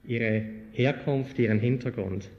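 A man's voice: medium pitch, a little slow, lo-fi sound, slight background noise. A man introducing a guest speaker at a church evening, asking friendly, loosely improvised questions. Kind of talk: live interview in a church. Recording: long hall reverb.

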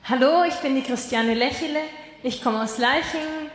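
Woman w high voice, measured intro, echoey hall. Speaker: Bible school student.

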